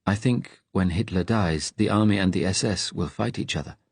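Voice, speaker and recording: A man narrating an audiobook, voicing a calm, direct resistance woman with a serious, measured manner. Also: low voice, creaky, husky, dry recording, heavily acted. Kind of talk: audiobook character dialogue.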